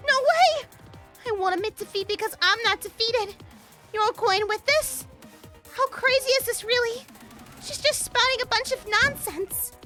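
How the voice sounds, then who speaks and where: A woman's voice: extremely high pitch, lively intonation, fairly quick, hardly any pauses, music in the background. A woman voicing a small, furious girl who screams threats at the others.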